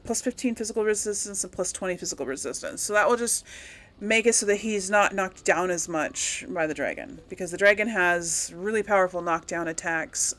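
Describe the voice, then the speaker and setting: A woman's voice: medium pitch, fairly bright tone. A woman doing casual game commentary, rambling and thinking aloud as she talks to herself. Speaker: gaming video host.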